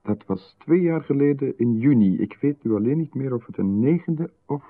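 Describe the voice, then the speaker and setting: A man with a Flemish accent, playing a calm, insistent stranger in a radio drama who seems to know far too much. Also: mid-range voice, normal speed. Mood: insinuating. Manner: calm, insistent, coolly overfamiliar. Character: calm, knowing male stranger who watches closely. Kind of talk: 1990s radio drama acting. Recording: narrow, phone-like radio broadcast.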